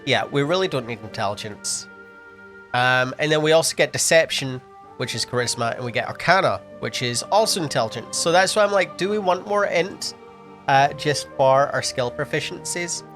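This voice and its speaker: A man's voice: a little high, lively intonation, moderate pace, a bit bright, faint music behind. A man commentating a video game at a casual pace, sniffly, thinking aloud with frequent ums and restarts. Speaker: gameplay commentator. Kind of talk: casual let's-play commentary.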